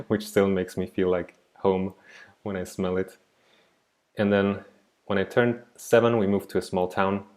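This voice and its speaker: A man in his thirties from Switzerland, teaching an online art class in a reflective, digressive way over a laptop mic. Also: deep voice. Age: 30s. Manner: reflective, digressive, soft-spoken. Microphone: laptop mic on a video call.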